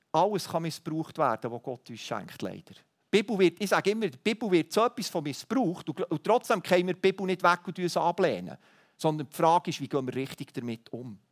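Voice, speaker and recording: A man preaching a sermon, earnest and teaching, thinking aloud with small restarts. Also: sits high. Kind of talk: sermon to a congregation.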